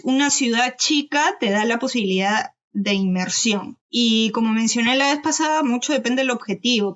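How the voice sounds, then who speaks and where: High-voiced woman in casual discussion. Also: fairly bright tone, dull audio. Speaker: podcast guest sharing experience of learning Chinese.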